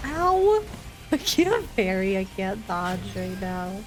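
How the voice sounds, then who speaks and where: A woman's voice: mid-range voice, very animated, slow pace, poor audio. A woman doing casual live game commentary, chatting with viewers in a playful, mock-complaining manner with short exclamations. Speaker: game streamer. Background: game music.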